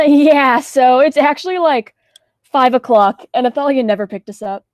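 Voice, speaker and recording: Teen girl playing cheeky high-voiced kid adventurer. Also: normal speed, darkish tone, dry recording, studio quality, lightly acted. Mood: flippant. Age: teenager. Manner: flippant, slangy, theatrical gasps. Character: cheeky, slangy, excitable Gen Z kid adventurer. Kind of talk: school radio play acting.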